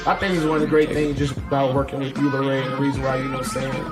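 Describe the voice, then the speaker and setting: A man's voice: medium pitch, level tone, very fast, loud music behind the voice. A man co-hosting a casual studio talk show, laid-back and slangy, often saying "you know what I'm saying". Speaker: co-host.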